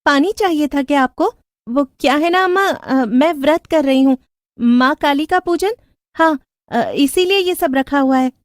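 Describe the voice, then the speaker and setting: Woman acting a high, sweetly evasive young wife. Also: unhurried, dry recording, studio quality. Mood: falsely innocent. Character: sweetly evasive young city daughter-in-law hiding evil. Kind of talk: dramatised horror storytelling.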